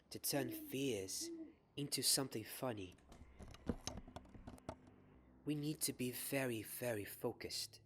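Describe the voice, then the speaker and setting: A young man playing a kind, gentle wizard professor in a soft-spoken ASMR roleplay, soothing and full of pauses. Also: medium pitch, a little hiss or hum. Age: young adult. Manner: slow, soothing, pausing, repeats words softly. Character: kind, gentle male wizard professor teaching defense class.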